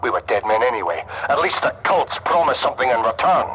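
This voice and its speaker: An actor playing a bitter, defiant renegade army officer in a video game, barking in a militaristic way. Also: male voice, medium pitch, sing-song, fast, fairly bright tone, slightly rough, poor audio. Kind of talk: video game cutscene acting.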